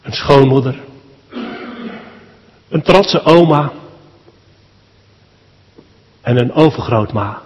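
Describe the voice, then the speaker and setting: A man, a pastor, leads a solemn public prayer at a church service in short, measured phrases with deliberate pauses. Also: mid-range voice, expressive, a little mellow. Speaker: pastor leading a funeral service. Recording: long church hall reverb.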